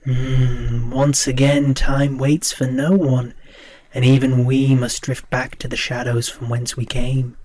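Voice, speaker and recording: A man's voice: medium pitch, little pitch movement, slow, darkish tone, lightly acted. A man playing a formal, theatrical host of a spooky radio show, speaking in a brooding manner. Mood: resigned, brooding. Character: spooky, courtly male radio host lurking in shadows. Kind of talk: theatrical horror anthology hosting.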